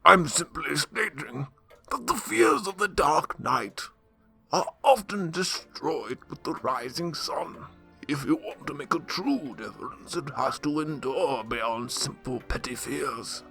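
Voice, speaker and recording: A man's voice: fairly high pitch, expressive, takes it slowly, vocal fry, pushed and bright, soft background music, full character voice. A man playing a dry, sardonic, loyal butler and mentor in a radio drama, speaking formally with wry admonishment. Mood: earnest admonishing.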